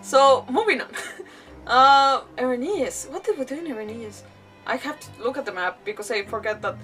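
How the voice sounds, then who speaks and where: High-pitched woman livestreamer, a bit off-mic. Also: lively intonation, moderate pace, bright, forceful tone, recorded from a distance, poor audio. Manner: rambling, emphatic, repeats words, giggly. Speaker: game livestreamer. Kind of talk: casual livestream chat and game commentary. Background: faint game music.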